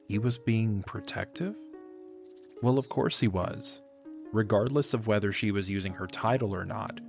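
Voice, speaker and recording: A man narrating an audio drama from a script, as a storyteller. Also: fairly low pitch. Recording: music under the voice.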